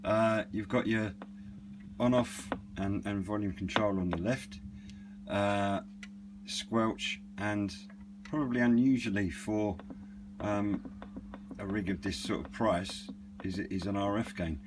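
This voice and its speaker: Low-voiced British man over noisy hum. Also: takes it slowly, pauses often. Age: middle-aged. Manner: hesitant, understated, meandering. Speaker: radio hobbyist and reviewer. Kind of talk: unscripted product demonstration, casual chat. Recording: clearly noisy with a steady hum.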